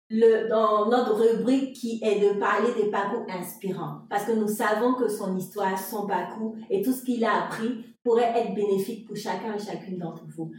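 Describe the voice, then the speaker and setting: A woman's voice: mid-range voice, darkish tone. A woman co-hosting a podcast who asks questions in a friendly way and affirms the guest often. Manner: friendly, with frequent affirming murmurs. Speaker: podcast co-host. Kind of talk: conversational interview hosting. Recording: echoey distant room sound with faint music.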